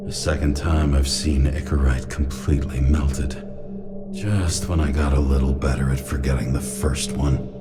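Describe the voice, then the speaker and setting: A super deep gravelly noir detective, tired and brooding, game voice over loud music. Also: male voice, steady tone, normal speed. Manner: brooding, terse, world-weary. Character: weary, brooding noir male detective, cynical and haunted. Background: prominent music.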